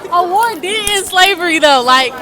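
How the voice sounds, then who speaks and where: A woman's voice: very high-pitched, expressive, normal speed. A teenage girl answering questions casually, brash and sweary. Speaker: interviewee. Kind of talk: casual chat. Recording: noisy crowded public place, slightly distant. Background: busy crowd chatter.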